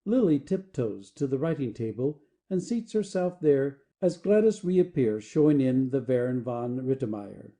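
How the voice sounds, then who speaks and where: A man reading the stage directions of an audio play as expressive scripted narration. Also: medium pitch, normal speed, few pauses.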